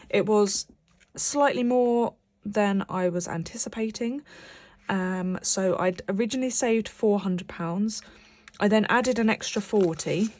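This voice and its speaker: A woman's voice: mid-range voice, a little mellow. A young British woman, bunged up from a cold, chatting casually about her budget as she stuffs cash envelopes. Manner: chatty, rambling, many fillers and restarts. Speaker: budgeting vlogger. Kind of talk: casual chat to camera while cash stuffing.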